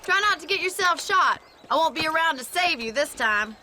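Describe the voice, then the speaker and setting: A woman playing a spirited, plainspoken young frontier ranch woman, wry and gently teasing, in a game cutscene. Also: high voice, expressive, moderate pace, background noise. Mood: light, teasing. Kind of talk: video game cutscene acting.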